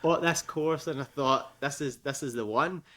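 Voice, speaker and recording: A young Scottish man speaks as a podcast guest. His talk is chatty and loose, full of fillers and quick laughs. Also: fairly high pitch, pushed and bright. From Scotland. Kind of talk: casual interview.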